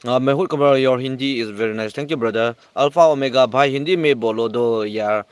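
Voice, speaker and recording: A man hosting a casual livestream, reading viewer comments aloud and answering in halting English full of restarts. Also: medium pitch, smooth, no room sound. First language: not English.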